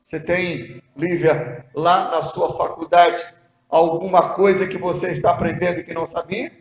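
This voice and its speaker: A man's voice: on the high side, fairly even intonation, normal speed, reverberant. A man preaching a devotional sermon as pastor, earnest and asking the listener rhetorical questions. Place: church hall.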